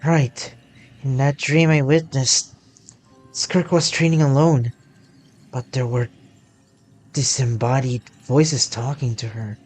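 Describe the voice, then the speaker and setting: A man streaming a story game, reading dialogue aloud and adding halting, muttered asides. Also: medium pitch, steady tone, darkish tone. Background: game music.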